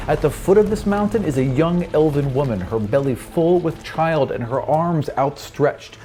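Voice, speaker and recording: A man's voice: on the high side, dark tone. A man working as game master, narrating a tabletop roleplaying game with vivid, informal storytelling. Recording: music playing behind the voice. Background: music.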